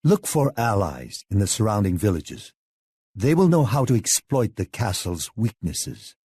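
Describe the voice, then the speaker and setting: A man playing a devoted older samurai lord in a game cutscene, speaking in a measured, courtly, theatrical way. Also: lowish voice, very animated, warm tone, husky, exaggerated voice. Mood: shrewd. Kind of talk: dramatic game cutscene acting.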